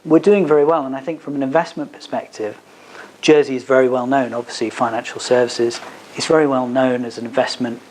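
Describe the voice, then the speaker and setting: A man's voice: mid-range voice, steady tone, fairly quick, darkish tone. Man explaining, faint background noise. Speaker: advocate giving a legal seminar. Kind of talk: seminar talk answering audience questions. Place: seminar at a business hub.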